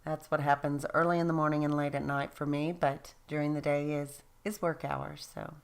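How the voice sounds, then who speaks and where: A woman narrating her craft project in a casual voice-over, tired and a little raspy, chatty and self-deprecating. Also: low-pitched, flat delivery, moderate pace. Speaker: craft video host.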